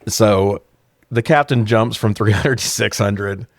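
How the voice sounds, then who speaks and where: A man's voice: on the low side, unhurried, dry recording, clean studio sound. A man co-hosting a podcast, giving a casual, comedic, sweary recap of a movie in slangy talk. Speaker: podcast co-host.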